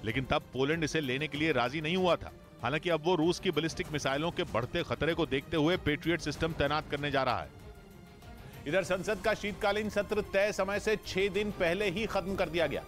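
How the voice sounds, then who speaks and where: Guy reading the news, kinda high voice, formal and steady, soft music underneath. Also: brisk. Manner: steady, formal newsreader delivery. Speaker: news reader. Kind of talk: scripted news bulletin reading.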